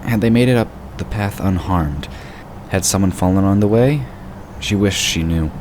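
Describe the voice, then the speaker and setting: A man giving scripted narration for a fantasy audio drama. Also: moderate pace. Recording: clearly noisy, sound effects behind. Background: rumbling ambience and sound effects.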